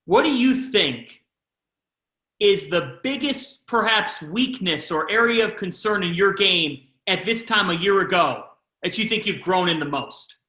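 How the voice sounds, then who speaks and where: A man interviewing a college athlete, asking long, lively questions and speeding up and slowing down as he talks. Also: sits high, reverberant. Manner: lively, shifts pace within questions.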